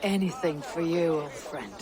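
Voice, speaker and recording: A woman's voice: sits low, moderate pace, crowd noise, natural voice. A woman playing a stoic, wry Viking warrior in a dramatic game cutscene, with terse delivery. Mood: wry, warm.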